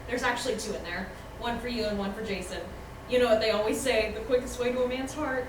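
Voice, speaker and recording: A woman's voice: a little high, fairly even intonation, fast talker, lightly acted. A woman playing a friendly, chatty young cafe worker in a screenplay table read. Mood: apologetic, friendly. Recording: echoey room, distant mic, noisy.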